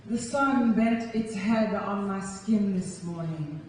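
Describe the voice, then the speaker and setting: A woman's voice: medium pitch, slow pace, a little mellow. Young woman poet in an echoey hall. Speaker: spoken-word poet. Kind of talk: live spoken-word poetry performance with casual introductions. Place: live on stage in a large echoey hall. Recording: echoey hall from far off, faint noise.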